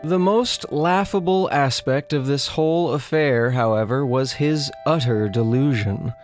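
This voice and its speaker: A man's voice: medium pitch, measured pace, lightly acted. Male performer as condescending Imperial storyteller, haughty and scornful, ornate scripted delivery, music underneath. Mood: contemptuous. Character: pompous, condescending Imperial man sneering at provincial peasants. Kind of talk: theatrical scripted recap narration. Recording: music behind the voice.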